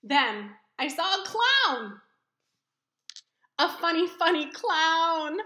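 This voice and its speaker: Woman as male cartoon elephant, emotional, excitable, dramatic, high-pitched, slow delivery, picture book read-aloud. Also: exaggerated voice. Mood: dramatic, upset. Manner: exclamatory, dramatic. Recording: roomy echo.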